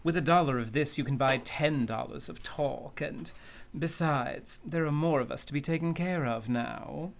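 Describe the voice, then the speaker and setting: An actor playing a courteous, cunning older criminal mastermind in an old-time radio drama, with florid, formal speech. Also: male voice, fairly high pitch, moderate pace, gravelly, slight background noise. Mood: suavely polite. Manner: florid, formal, elaborately polite.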